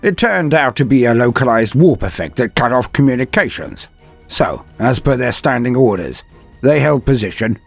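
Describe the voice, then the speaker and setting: A man's voice: mid-range voice, very animated, normal speed, gravelly, soft background music, pitched above the performer's own voice. A man voicing a bold, wry military commissar in an audio story, with clipped delivery. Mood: evasive, casual. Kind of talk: dramatic audio story character voice.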